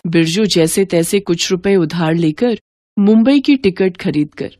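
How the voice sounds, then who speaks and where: A woman's voice: lowish voice, measured pace, dark tone, no echo. A woman narrating a scripted moral story, with slight noise in the recording.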